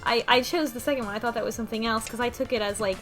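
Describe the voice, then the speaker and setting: A woman's voice: on the high side, steady tone, rapid-fire, a bit bright. A woman in an informal, conversational chat as a podcast panelist, recapping a story. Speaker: book club podcast panelist. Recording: music playing behind the talk. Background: music.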